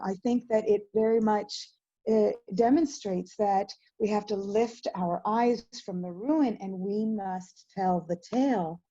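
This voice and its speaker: A woman hosting an online commemoration, speaking informally and reflectively in an earnest, emphatic manner with deliberate stresses. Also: medium pitch, warm tone, slightly rough. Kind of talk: informal reflective webinar talk. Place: indoors on a webinar call. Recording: muffled, roomy online webinar audio.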